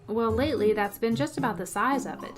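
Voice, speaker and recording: An actress playing a wry, quick-witted English teacher in a comedic radio play, dry and wisecracking. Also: female voice, a little high, over music, natural voice. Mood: friendly, wry. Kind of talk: comedic old-time radio play acting and narration.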